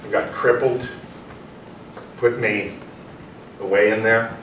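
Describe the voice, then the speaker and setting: A man's voice: lowish voice, steady tone, a bit bright. Reclusive male artist, acted by a man, childlike, fragmented delivery, stage reading, recorded distantly with room echo. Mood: halting, childlike. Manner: short, halting, fragmented phrases. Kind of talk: staged play reading, theatrical acting. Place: small indoor performance room with audience. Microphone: far camera. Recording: echoey room, distant mic, faint noise.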